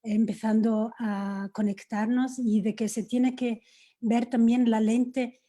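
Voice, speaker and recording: Very dark-voiced, slow woman, non-native Spanish speaker. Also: on the high side, little pitch movement. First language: not Spanish. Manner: searching for words, mixing in English. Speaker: panel participant. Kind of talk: online panel discussion.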